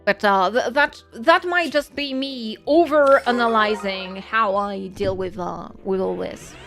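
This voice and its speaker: A woman's voice: mid-range voice, a little slow, over music. A woman with a French accent, a native French speaker, chatting casually on a gaming stream in a musing, rambling way and correcting herself as she goes. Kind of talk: casual gaming livestream chat.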